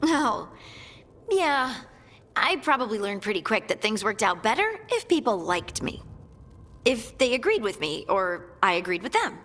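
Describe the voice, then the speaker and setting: A woman's voice: mid-range voice, big pitch swings, a little slow, fairly bright tone, long reverb tail. An actress playing an eager-to-please young dwarven woman in a video game, speaking hesitantly and apologetically. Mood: reflective. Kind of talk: video game cutscene acting.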